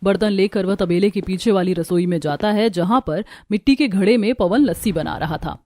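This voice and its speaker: Mellow-voiced woman storyteller. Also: medium pitch, moderate pace, dry recording. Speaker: narrator. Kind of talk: scripted story narration. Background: music.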